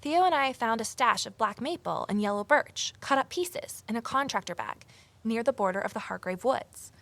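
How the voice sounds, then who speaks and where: Casting: amateur sleuth woman, slightly high voice, pointed, questioning, expressive audio drama delivery. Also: normal speed, no echo. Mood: curious, suspicious. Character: Evelyn, sharp, inquisitive amateur sleuth woman. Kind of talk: full-cast audio drama acting, narration and dialogue.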